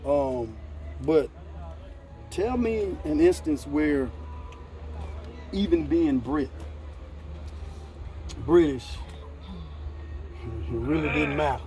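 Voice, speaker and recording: An American man hosting a casual outdoor conversation, relaxed and repeating his phrases. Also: a little high, drawn-out words, pauses often, soft background music, rough recording. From United States. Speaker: host.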